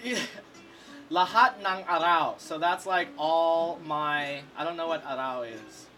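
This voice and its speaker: Laid-back young man, higher voice, music behind. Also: slow, bright, forceful tone. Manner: laid-back, slangy, swears freely. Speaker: co-host cooking on the show. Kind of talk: casual chat while cooking. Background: music playing.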